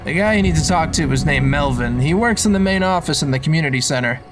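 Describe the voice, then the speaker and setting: A man's voice: a little high, expressive, fast talker, music in the background, lightly acted. A man playing a humble, down-on-his-luck drifter and recovering addict in a video game, speaking in a hesitant, confessional way. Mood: hopeful. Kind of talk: video game character dialogue.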